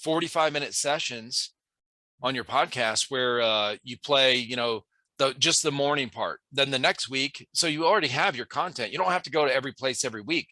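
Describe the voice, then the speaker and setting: A man's voice: medium pitch, a little fast, pushed and bright, no echo. An American man hosting a podcast, chatting in a laid-back way and calling his guest "bro". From United States. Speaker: podcast host. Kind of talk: casual chat.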